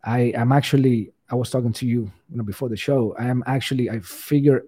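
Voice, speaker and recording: Guest man, warm husky tone, earnest, full of "you know"s. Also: mid-range voice, steady tone, fairly quick. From Cuba. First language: Spanish. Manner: earnest, many "you know" fillers and restarts. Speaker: podcast guest. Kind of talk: casual interview talk.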